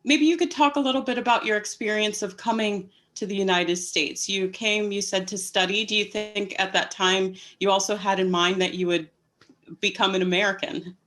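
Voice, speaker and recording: A woman's voice: medium pitch, fairly even intonation, moderate pace, fairly bright tone. A woman interviewing a guest, asking questions and responding with short encouraging affirmations. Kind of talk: casual interview conversation.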